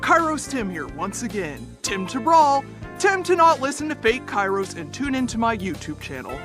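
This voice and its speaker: A woman's voice: on the high side, big pitch swings, moderate pace. A woman voicing a hyped, chirpy parody of a gaming YouTuber, peppy and over-eager. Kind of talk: exaggerated YouTube intro. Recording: music behind voice.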